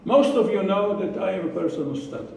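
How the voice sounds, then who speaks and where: A man with an Israeli accent giving a prepared speech to a club, slow and deliberate, with pauses and repeated words. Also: high voice, lively intonation, creaky, a little mellow, slight background noise. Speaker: club speaker. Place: meeting room. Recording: echoey room from far off.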